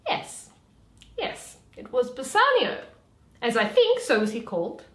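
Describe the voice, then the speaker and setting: A witty young heiress of Shakespearean drama, performed by a woman, speaks in a fairly high voice. She is arch, theatrical and scornful of her suitors, teasing as she goes. The room around her rings with echo. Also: big pitch swings, a little slow. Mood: fond. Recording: roomy, echoey home recording.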